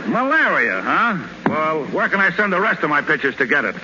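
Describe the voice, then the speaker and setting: An actor playing a gruff, wisecracking baseball team captain who barks at his players in a 1930s radio comedy. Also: a little high, sing-song, brisk. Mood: dry sarcasm. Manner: wisecracking, barking, slangy. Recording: muffled, noisy 1930s radio broadcast recording.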